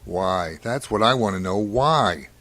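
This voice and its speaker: A man's voice: fairly low pitch, expressive, unhurried, fairly bright tone, full character voice. An actor playing an uneasy, plainspoken farmer father with rustic, folksy speech in a radio drama. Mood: troubled, insistent.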